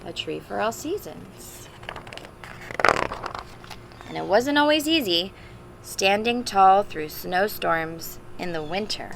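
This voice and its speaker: A woman's voice: mid-range voice, expressive, takes it slowly, creaky, pauses often, heavy background noise. A woman reading a storybook aloud to young children, expressively, with simple chatty asides and questions. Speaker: teacher reading a picture book aloud to children.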